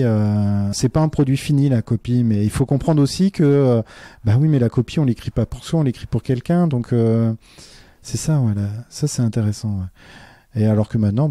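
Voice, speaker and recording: A man's voice: mid-range voice, dark tone, no echo. A man, a teacher, talking earnestly in a casual interview, with many fillers and restarts. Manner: earnest and reflective.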